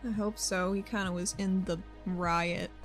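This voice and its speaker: A woman playing an earnest, frank female handler in a tabletop game, explaining herself in an informal, halting way. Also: lowish voice, fairly even intonation, faint music behind, natural voice. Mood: weary, defensive. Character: earnest, frank female handler defending her troublesome partner.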